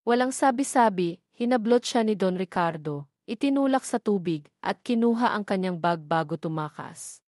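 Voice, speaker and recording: A woman narrating a love story in a scripted audio drama, reading in a steady, even way. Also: mid-range voice, moderate pace, no echo, studio quality.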